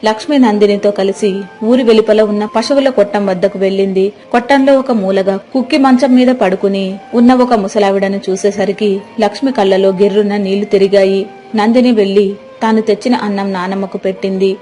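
Female storyteller, soft dark timbre, medium pitch, scripted village-tale narration. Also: fairly even intonation, a little fast, faint music behind.

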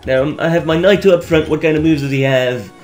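A young man with a Belgian accent, raspy from just waking up, giving rambling, joking commentary over a game he is playing and often stumbling over his words. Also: fairly high pitch, quick pace, a little mellow, faint music behind. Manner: rambling, stammering restarts, joking, laughs often. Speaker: let's play host. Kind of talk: casual gameplay commentary.

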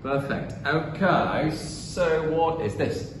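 A man's voice: a little high, lively intonation, recorded from a distance. Patient Russian man teaching English. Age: 30s. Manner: slow, patient prompting, models answers. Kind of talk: one-on-one English lesson with a child. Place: small classroom with hard floor. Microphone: camera a few meters away. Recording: echoey room, off-mic, noisy.

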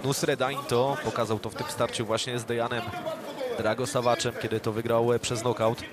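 A man giving live play-by-play boxing commentary, calling punches briskly. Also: medium pitch, little pitch movement. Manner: brisk, factual calls of punches. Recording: commentary over a loud crowd.

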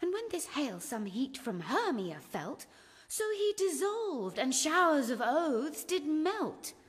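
Spurned young noblewoman, British, lovelorn Shakespearean verse, slow and very expressive. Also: high voice, exaggerated voice. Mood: aggrieved, resolved. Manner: theatrical, measured verse delivery. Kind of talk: Shakespearean radio drama soliloquy. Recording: long hall-like reverb.

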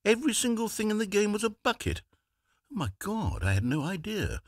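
A man's voice: sits high, brisk, no echo, heavily acted. A man playing a fussy, theatrical video game narrator, dramatic, exasperated and condescending by turns. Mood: relieved. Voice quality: husky, very animated delivery. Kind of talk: scripted video game voice acting. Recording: dry close studio recording.

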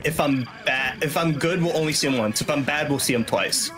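A man's voice: on the high side, a little fast. A young man giving casual, chatty speedrun commentary, explaining as he plays and swearing casually. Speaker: speedrunner playing live at a charity marathon. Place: small indoor room at home. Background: video game music.

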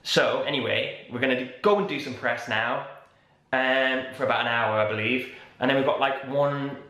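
A young man talking casually to a handheld camera, chatty and animated with lots of filler words. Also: medium pitch, moderate pace, echoey room, rough recording. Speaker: vlogger and stage actor. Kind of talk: casual vlog chat. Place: hotel room and corridor indoors, garden outdoors. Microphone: camera held at arm's length.

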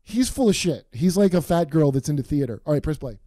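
A man hosting a comedy podcast, wry and quick with crude jokes, cueing clips, on a studio mic over a video call. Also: on the high side, lively intonation, warm tone. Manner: wry, cuts in to cue clips. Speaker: podcast host.

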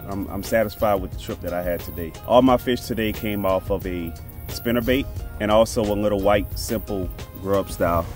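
A man giving casual, laid-back, folksy commentary to viewers as a fishing vlogger, outdoors on a boat in a marsh. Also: deep voice.

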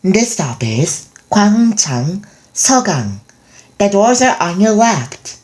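A woman's voice: medium pitch, sing-song, drawn-out words, a little mellow. A woman reading scripted station stops as a subway announcer.

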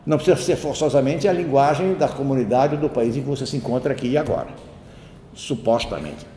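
A man's voice: medium pitch, expressive, fast talker, mellow. Lecturing man in an echoey hall. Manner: discursive, digressive, with frequent tag questions. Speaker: university lecturer. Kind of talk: spoken lecture. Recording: roomy hall with faint ambient noise.

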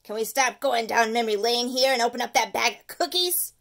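A woman voicing a greedy, wisecracking male sweet tooth in a storybook, loud and pushy. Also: on the high side, expressive, a little fast, creaky.

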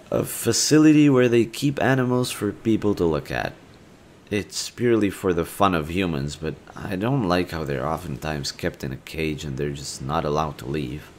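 A male actor plays a kind, bashful young man with a low voice in an intimate audio roleplay. He talks gently and casually to the listener, pausing to find his words. Faint noise and ambience sit behind him.

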